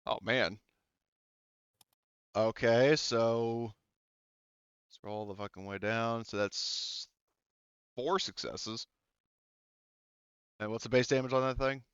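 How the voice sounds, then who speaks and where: A man running a tabletop game as game master, relaxed and hesitant, with many fillers. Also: mid-range voice, slow pace, long pauses, clear, resonant tone, no room sound, dull audio.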